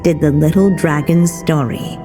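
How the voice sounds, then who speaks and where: A woman plays a motherly witch storyteller in a game, with a dark, mellow, low voice. She speaks in solemn, measured storybook phrases, washed in long reverb. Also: lightly acted. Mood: tender. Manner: measured, theatrical, formal phrasing. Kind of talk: scripted storybook narration in a video game. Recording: long reverb over music.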